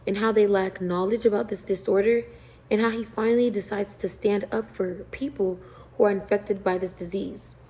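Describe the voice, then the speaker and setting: A young woman, a student from the United States, reading a prepared class presentation in an earnest, steady tone. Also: mid-range voice, low-quality recording.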